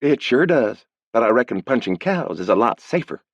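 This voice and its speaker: A man's voice: medium pitch, sing-song, normal speed, no echo, pitched above the performer's own voice. A man voicing a wary, bossy young woman on a frontier ranch. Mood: curious. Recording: dull lo-fi dry audiobook recording.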